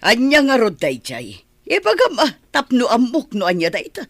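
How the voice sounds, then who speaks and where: Grumbly Ilocano in-law male actor, high swooping voice, close and dry, comic radio play. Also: huge pitch swings, moderate pace, fairly bright tone, dry recording, heavily acted. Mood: impatient, curious. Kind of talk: Ilocano radio comedy acting.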